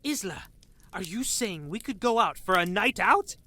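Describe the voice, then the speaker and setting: A woman playing an excitable, chatty, teasing tavern friend in a fantasy audio drama, with a dramatic, gushing manner. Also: mid-range voice, moderate pace. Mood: teasing surprise. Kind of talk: fantasy visual novel audio drama acting.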